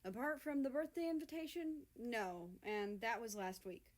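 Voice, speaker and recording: A woman's voice: a little high, fairly even intonation, normal speed, lightly acted. A woman voicing a blunt, bickering but friendly younger brother in a read-aloud story. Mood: plain, friendly.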